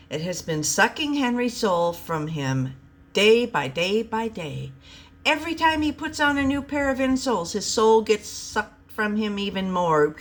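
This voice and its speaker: A woman's voice: a little high, pitched above the performer's own voice. A woman voicing an earnest, bluntly certain female lab sidekick in a comic story, emphatic and matter-of-fact.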